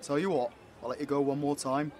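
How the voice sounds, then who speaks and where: A man's voice: fairly high pitch, fairly even intonation, brisk, very noisy, close to an everyday voice. A man playing a crooked fairground stall keeper. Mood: sly, folksy. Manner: folksy, calls people boy and son. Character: gruff crooked carnival game stall keeper, older man.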